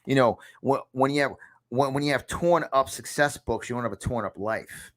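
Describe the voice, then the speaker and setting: A punchy motivational coach in his fifties, talking in a New York accent in a middle-pitched voice. He hammers his points home, repeating lines for emphasis. The sound is from a small home studio. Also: moderate pace, a bit bright. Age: 50s. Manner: emphatic, repeats phrases for effect. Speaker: success coach. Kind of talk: motivational lesson to camera.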